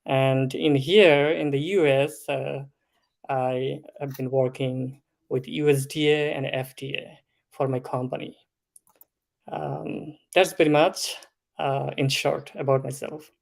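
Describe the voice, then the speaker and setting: Slow, measured man from Bangladesh. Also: medium pitch, fairly even intonation, creaky. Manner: slow, measured, explanatory with filler ums. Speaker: food safety consultant, guest. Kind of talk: interview answers.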